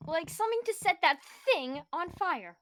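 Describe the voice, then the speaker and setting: A woman's voice: very high-pitched, animated, moderate pace, a bit bright, no echo, clean studio sound. A woman chatting casually as a player in a tabletop roleplaying game.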